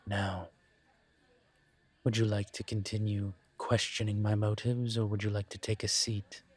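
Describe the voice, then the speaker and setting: A man voicing a suave, teasing incubus professor in an intimate audio roleplay, courteous and measured, with deliberate pauses. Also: on the low side, little pitch movement, dry recording. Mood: teasing, inviting. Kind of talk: intimate one-sided audio roleplay acting.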